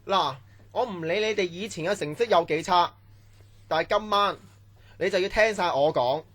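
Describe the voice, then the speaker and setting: Man playing a strict high-voiced camp instructor. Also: moderate pace, a bit bright, no echo, faint music behind. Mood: stern, bossy. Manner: barking orders, scolding. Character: strict domineering male training-camp instructor. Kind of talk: Cantonese radio drama acting.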